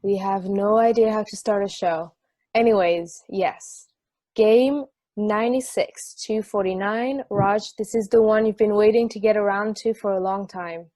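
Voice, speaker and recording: A woman's voice: mid-range voice, steady tone, a little slow, dark tone, no echo, lightly acted. A woman playing a jaded, sardonic sports podcast host in a casual table read. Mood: wry, teasing.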